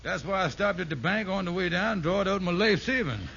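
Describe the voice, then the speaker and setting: An actor playing a blustering, wisecracking henpecked husband with folksy grammar and a grumbling manner in a 1950s radio comedy. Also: male voice, sits high, expressive, brisk, gravelly, fairly bright tone, heavily acted. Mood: scheming. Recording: muffled, very noisy old radio recording.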